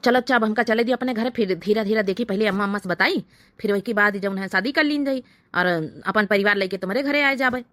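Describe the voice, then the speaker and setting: A woman's voice: medium pitch, quick pace, a bit bright, no echo, close to an everyday voice. A woman voicing a sneaky, short-tempered village husband in a comedy cartoon, with a brusque, rustic Awadhi accent. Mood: furtive.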